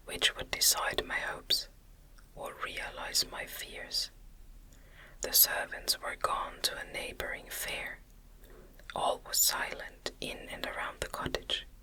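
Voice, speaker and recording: A woman reading a classic novel as ASMR, in a hushed, deliberate whisper. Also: low-quality recording. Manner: slow, deliberate, hushed phrasing. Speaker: audiobook reader.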